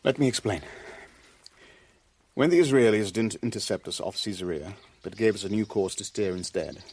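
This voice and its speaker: An actor in a radio drama playing a cornered Levantine businessman, formal and measured, defending himself with wounded dignity. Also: lowish voice, a little hiss or hum, natural voice.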